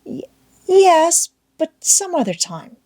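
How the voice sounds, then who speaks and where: A woman's voice: extremely high pitch, sing-song, slow, mellow, voice pushed up, exaggerated voice. A woman voicing a shy, sweet, hesitant little schoolgirl in an audiobook, timid and childlike. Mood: bashful. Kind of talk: audiobook character voice.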